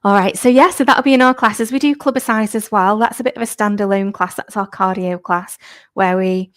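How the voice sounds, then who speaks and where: A woman, a fitness instructor, chatting upbeat and slightly croaky on a live stream, laughing often. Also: medium pitch, a little fast, no echo, clean studio sound. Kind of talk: live-stream chat with viewers. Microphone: new clip-on microphone.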